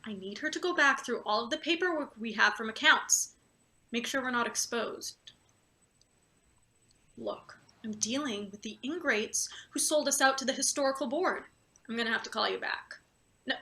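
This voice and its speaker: A woman's voice: a little high, normal speed, pauses often, sharp tone. A woman playing a ruthless, irritable boss in a play reading, curt, accusatory and sarcastic. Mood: threatening, irritated. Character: ruthless, irritable female boss, sharp and threatening. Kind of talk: live table read of satirical stage play.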